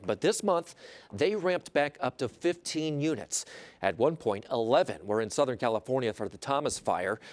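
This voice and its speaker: A man's voice: mid-range voice, a little mellow. A man with an American accent reading scripted news as a television anchor.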